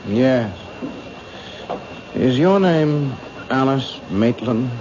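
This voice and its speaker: A man acting in a radio mystery as a young stranger, deliberate, halting and quietly menacing. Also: medium pitch, lively intonation. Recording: muffled, noisy old radio recording.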